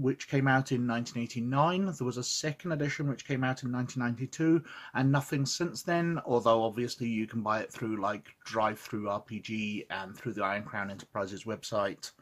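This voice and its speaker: A man's voice: mid-range voice, normal speed. A man with a head cold, sniffly, hosting a casual review of an old roleplaying game book and reading its text aloud, with chatty asides and frequent "uh"s. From Scotland.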